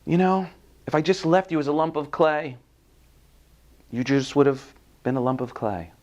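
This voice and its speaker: A man playing a calm, firm master potter in a parable, speaking patiently. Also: fairly high pitch, lo-fi sound, close to an everyday voice. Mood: earnest, reassuring. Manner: patient, measured, explanatory. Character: calm, stern but loving master potter.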